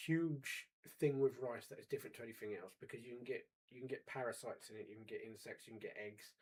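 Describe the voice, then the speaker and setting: A man of forty co-hosting a casual chat, wry and pedantic, quick to argue a point. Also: mid-range voice, a little mellow, breathy edge, poor audio. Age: 40s. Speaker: podcast co-host.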